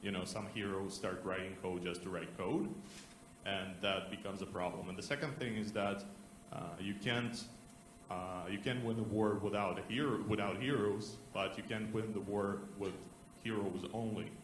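A man in a conference audience asking the speaker a question in a rambling, halting way. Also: lowish voice, steady tone. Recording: echoey hall with faint noise.

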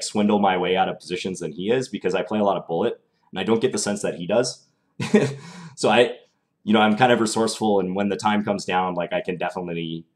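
A young man with a mild Minnesota accent, streaming live and thinking aloud through his chess moves in a small room. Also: sits low. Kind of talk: live chess commentary while playing. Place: small indoor room at home.

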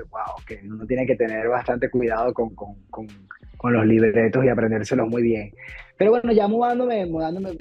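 A man from Venezuela, an actor, chatting casually on a video call about his stage roles, full of fillers and restarts. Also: mid-range voice. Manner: rambling, many fillers and restarts. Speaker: actor guest. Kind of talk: casual interview chat. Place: home room, video call. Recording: dull lo-fi video call audio with faint music.

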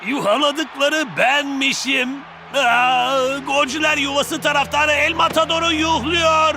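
High-pitched, vain cartoon football star. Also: male voice, flat delivery, unhurried, heavily acted. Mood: hurt. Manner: theatrical, boastful. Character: vain, melodramatic star striker, adored by his fans. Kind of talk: animated cartoon dub acting. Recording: loud music behind the voice.

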